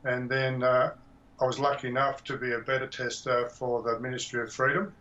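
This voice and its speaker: A man's voice: medium pitch, flat delivery, brisk, fairly bright tone. A middle-aged retired man giving a plain, modest testimonial with hesitant fillers. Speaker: course student. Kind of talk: testimonial on a call. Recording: dull lo-fi call audio.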